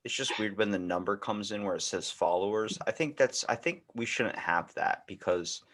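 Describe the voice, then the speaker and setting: A man's voice: lowish voice, normal speed, a bit bright. An American man hosting a podcast, talking in a casual, rambling conversational way. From United States. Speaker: podcast host.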